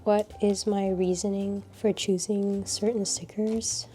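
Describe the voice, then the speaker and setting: A woman with a slightly scratchy voice from a cold, chatting casually and unhurriedly in a low-energy voice-over about her craft supplies. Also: flat delivery, a little slow, warm tone. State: a little sick, scratchy voice. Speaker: art vlogger.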